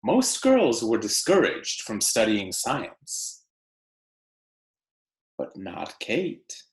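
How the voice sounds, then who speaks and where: A man teacher reading aloud to children, with deliberate storybook phrasing and casual delivery. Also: fairly low pitch, wildly swooping pitch, reverberant.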